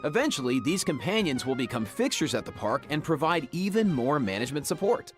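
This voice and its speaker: Animated high-pitched male game presenter. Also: brisk, soft background music. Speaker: co-presenter of a game preview program. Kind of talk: lively scripted presentation with banter.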